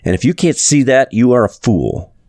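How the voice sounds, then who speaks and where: A man's voice: medium pitch, sing-song, normal speed, darkish tone, dry recording, studio quality, voice pushed up. A man voicing an angry, stubborn city workman in an audiobook story, accusing and scornful.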